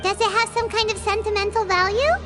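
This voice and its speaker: A woman voicing a tiny, childlike floating fairy companion in a video game, squeaky, cheeky, chatty and blunt. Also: level tone, fairly quick, over music. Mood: curious, bright. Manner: refers to self in third person, blunt. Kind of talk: video game character voice acting.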